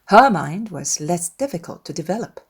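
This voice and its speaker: A woman's voice: fairly low pitch, expressive, normal speed, slightly rough. A woman reading scripted narration as the narrator of a dramatised classic audiobook.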